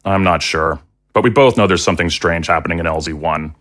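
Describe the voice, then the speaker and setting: An actor playing a grave, guarded older general in an audio drama, speaking in a formal, measured, confiding way. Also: male voice, low voice, fairly bright tone. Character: grave, guarded older male military general, quietly loyal.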